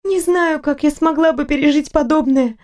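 Woman voicing a young female nurse, high ringing voice, fussy, talkative. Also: moderate pace, darkish tone, natural voice. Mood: chattering.

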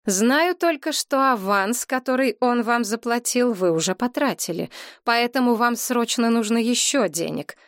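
A fierce, relentless young woman, as a woman reader puts her on, pressing hard in a high, heated voice. She grills her target with cold accusations. Dry, close recording. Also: animated, moderate pace, no room sound. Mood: accusing. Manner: heated, hissing through teeth when quiet.

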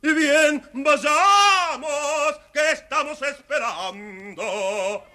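A man's voice: extremely high pitch, drawn-out words, sharp tone, exaggerated voice. A man voicing a haughty, cowardly rooster in a children's audio storybook. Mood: impatient. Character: haughty, cowardly rooster bossing his friends.

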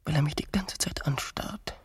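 Teasing, willful wife, husky voice. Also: female voice, low-pitched, normal speed, whispered, long reverb tail. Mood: tense. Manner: insistent, teasing, pointed. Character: willful, teasing, jealous wife, romantic and quick to accuse. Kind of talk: naturalistic radio drama dialogue.

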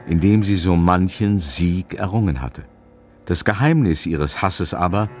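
A man narrating a literary radio play in a measured storytelling manner. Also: deep voice, darkish tone.

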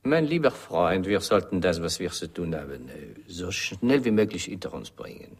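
Suave, gravelly French-accented gangster. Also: male voice, low voice, sing-song, normal speed, exaggerated voice. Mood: polite menace. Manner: theatrically polite, hesitant foreign phrasing. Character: suave, menacing French-speaking male gangster, falsely friendly. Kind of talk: radio drama acting.

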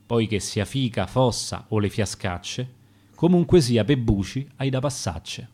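A man's voice: mid-range voice, lively intonation, measured pace, darkish tone. A middle-aged man with a Roman accent, reading his own rhymed dialect poetry in a rhythmic, declaiming way.

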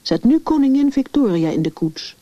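Vrouw speelt een ernstig, fantasierijk klein meisje, doffe opname. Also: female voice, high voice, very animated, normal speed, mellow, no room sound, voice pushed up. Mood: delighted. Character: earnest, imaginative little girl at play. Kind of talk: Dutch radio story reading. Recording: dull, lo-fi radio recording.

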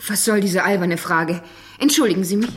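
An actress playing a prickly, defensive young gentlewoman in a radio play, husky and curt. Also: medium pitch, brisk, slight background noise, lightly acted. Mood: defensive, indignant. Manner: curt, guarded.

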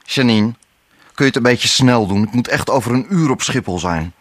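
An actor playing an irritable, exasperated boyfriend in a hurry, in a radio drama. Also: male voice, mid-range voice, normal speed, a little hiss or hum, natural voice. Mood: hurried, impatient. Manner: snappy, exasperated. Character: impatient, irritable boyfriend rushing to pack for a trip.

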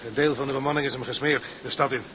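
A man's voice: mid-range voice, steady tone, rapid-fire, a bit bright, a little hiss or hum, lightly acted. An actor playing a flustered, deferential crewman speaking colloquially to his captain in a drama. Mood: apologetic, flustered. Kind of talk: drama dialogue.

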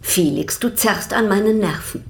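An actress playing an imperious, discontented baroness in a German radio drama, husky and haughtily complaining. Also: female voice, medium pitch, normal speed, over music, lightly acted. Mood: irritated, disdainful. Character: imperious, discontented baroness, older sister of a bohemian.

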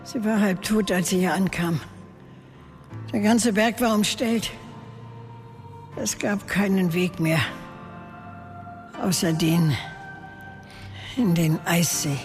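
A woman's voice: medium pitch, slow, pauses often, a little mellow, faint music behind, close to an everyday voice. Actress as cryptic old woman in cavernous reverb. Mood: wistful, remembering. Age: older. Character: elderly cryptic woman, wistful and coldly self-assured. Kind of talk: radio drama acting.

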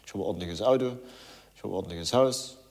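Man from East Germany, very low, rough-edged voice, candid reflection, insistent repetition. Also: fast talker, darkish tone. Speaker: pub owner and amateur sculptor.

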